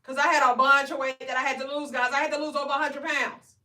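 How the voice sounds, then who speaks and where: A woman, coaching weight loss on a live stream in an energetic, preachy way, often asking "right?". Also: high-pitched, quick pace, reverberant, recorded from a distance. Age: 40s. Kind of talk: live stream motivational talk.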